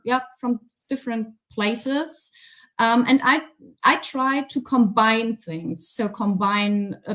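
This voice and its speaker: A woman with a German accent, a guest resin artist chatting casually on a video call, pausing often with ums. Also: on the high side. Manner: hesitant, many ums, laughs easily. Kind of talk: casual chat.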